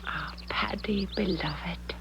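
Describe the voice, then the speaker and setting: A woman's voice: lowish voice, slow pace, vocal fry. A woman playing an earnest, sorrowful yet resolute young Irish daughter, with an Irish accent, in a 1970s radio drama. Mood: tender, sad. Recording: muffled, noisy 1970s radio broadcast.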